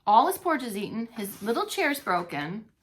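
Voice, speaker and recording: A woman's voice: on the high side, very animated, normal speed, slight background noise. A woman reading a story aloud to young children as a preschool teacher, expressively and with playful asides. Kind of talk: expressive children's read-along narration.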